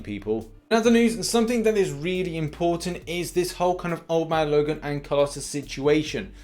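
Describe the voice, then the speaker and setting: A man's voice: a little high, darkish tone. A man hosting a gaming news show, giving scripted commentary in an emphatic, informal presenter style. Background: music.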